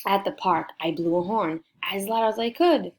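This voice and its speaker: A woman reading a picture book aloud to children in an expressive way, prompting her listeners with questions. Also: mid-range voice, normal speed, a little hiss or hum. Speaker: storybook reader for children.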